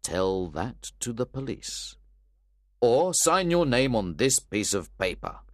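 A man reading a story aloud, voicing a composed, stern older gentleman who lays out accusations firmly. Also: medium pitch, sing-song, dry recording, studio quality. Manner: firm, measured and accusing.

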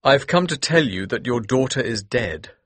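An actor playing an earnest, determined gentleman who tells a Victorian mystery in the first person, with a husky voice. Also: mid-range voice, animated, moderate pace, dry recording, lightly acted. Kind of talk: scripted first-person audiobook narration.

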